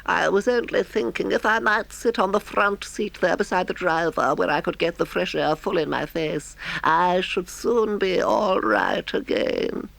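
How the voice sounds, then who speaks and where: A falsely humble toad in washerwoman disguise , performed by a man for a storybook. The voice is pitched high and ingratiating, with polite, lingering phrases and lively melody. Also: a little slow, vocal fry, few pauses, exaggerated voice, low-quality recording. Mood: coaxing. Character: male toad posing as meek, feeble old-fashioned washerwoman.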